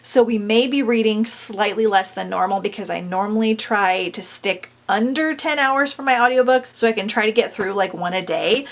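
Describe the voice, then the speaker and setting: A woman's voice: mid-range voice, normal speed, few pauses. A young woman chatting casually to her viewers about books, bubbly and full of "like" fillers, a little stuffy from a mild cold. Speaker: book vlogger. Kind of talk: casual chat to camera.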